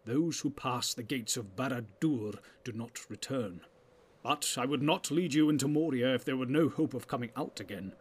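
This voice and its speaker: A man's voice: mid-range voice, normal speed, slightly rough, close to an everyday voice. A man playing a grave, commanding old wizard in a fantasy audiobook, speaking in weighty, archaic, oratorical phrases. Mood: stern, measured.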